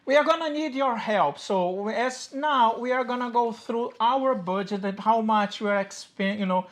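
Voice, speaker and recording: A woman's voice: on the high side, measured pace. Brazilian woman, portuguese accent, chatty and upbeat, little grammar slips, just explaining stuff to camera. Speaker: co-host of a personal finance channel. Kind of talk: casual explanatory chat to camera.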